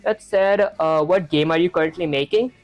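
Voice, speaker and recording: A man's voice: a little high, normal speed, faint music behind. A young man live-streaming his programming practice, talking casually and rambling with lots of filler words while he reads chat. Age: young adult.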